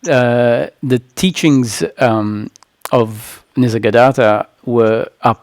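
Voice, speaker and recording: A man with a creaky voice hosting a live online question-and-answer talk, speaking in a halting, deliberate way. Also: medium pitch, fairly even intonation, no echo. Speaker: host, spiritual teacher.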